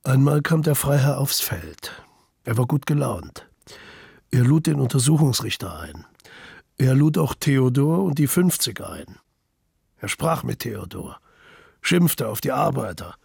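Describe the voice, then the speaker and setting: A man with a creaky, gravelly voice reading scripted radio drama narration in staccato sentences. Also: medium pitch, sing-song, a little slow, darkish tone, no echo, studio quality. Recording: noticeable ambient background noise.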